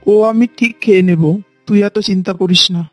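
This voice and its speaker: A woman's voice: medium pitch, fairly even intonation, a little fast, slightly rough, natural voice. A woman voicing an elderly village mother-in-law in a cartoon, plain-spoken and self-effacing. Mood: placating, gentle. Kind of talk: cartoon character dialogue. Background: faint music.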